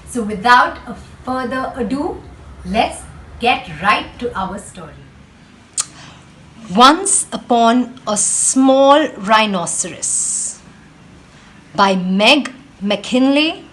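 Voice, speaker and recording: Very slow, animated woman storyteller. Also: on the high side, poor audio. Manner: slow, deliberate, dramatic phrasing. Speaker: read-aloud host, storyteller. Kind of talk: expressive children's storybook read-aloud.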